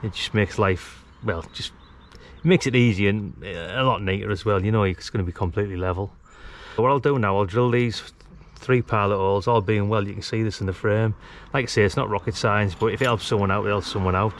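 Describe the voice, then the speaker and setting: A British man with a fairly low voice talks through a practical job step by step. His delivery is informal and chatty, like an easygoing DIY presenter. There is some noise behind him in the on-location recording. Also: fairly quick. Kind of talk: casual hands-on how-to commentary. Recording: clearly noisy on-location recording.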